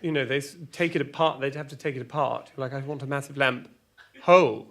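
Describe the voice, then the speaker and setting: A man playing a flustered, exasperated storyteller, halting and repeating himself through a comic monologue full of petty grievances. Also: medium pitch, steady tone, heavy background noise, lightly acted. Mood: wry, rambling. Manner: halting, repetitive, stumbling over words. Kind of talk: rambling semi-improvised comic monologue with acted argument quotes.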